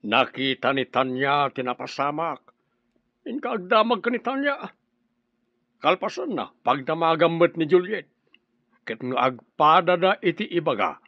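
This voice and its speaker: A man's voice: fairly high pitch, sing-song, unhurried, no echo, muffled recording, heavily acted. A man with an Ilocano accent playing an inquisitive village father in a radio drama. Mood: probing.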